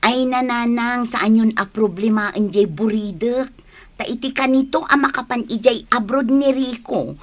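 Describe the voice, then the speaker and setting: A woman's voice: fairly high pitch, normal speed, close to an everyday voice. A woman acting a talkative, proud grown daughter in an Ilocano family radio drama. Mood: impressed, chatty.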